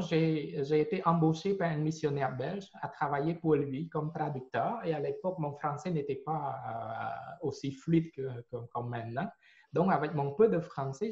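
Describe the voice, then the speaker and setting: A man's voice: on the high side, dark tone. A man in his forties from Cambodia, a Khmer speaker, telling his faith testimony hesitantly with many fillers and repeated words. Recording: roomy, dull lo-fi sound.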